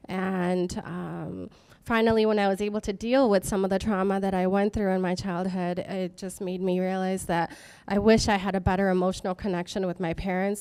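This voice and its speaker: Woman founder, clear resonant tone, earnest and hesitant delivery at a panel. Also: medium pitch, a little mellow. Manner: earnest, halting with many fillers. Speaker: startup founder. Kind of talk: personal story at a talk. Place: office event space with audience.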